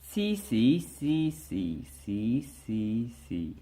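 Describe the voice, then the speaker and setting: An Australian man giving a casual spoken lesson to camera, talking in a relaxed, hesitant way with many fillers and restarts as he shows pages from a book. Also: medium pitch, very slow, poor audio. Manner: explains by showing pages from a book. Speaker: language vlogger.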